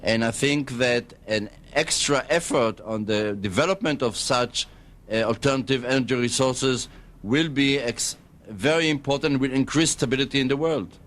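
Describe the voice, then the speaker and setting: A man from Israel with an Israeli accent, giving formal, diplomatic and halting answers as a radio guest. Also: mid-range voice, a bit bright. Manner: measured, diplomatic, halting with long hesitations. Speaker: embassy spokesman, radio guest.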